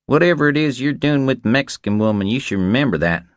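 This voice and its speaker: A man's voice: medium pitch, lively intonation, quick pace, dry recording, clean studio sound, pitched above the performer's own voice, lightly acted. A man voicing a wary, blunt woman who cooks on a ranch, speaking curtly and guardedly in a crime audiobook. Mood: blunt warning. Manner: curt, guarded. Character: wary, blunt female ranch cook, warning and curt.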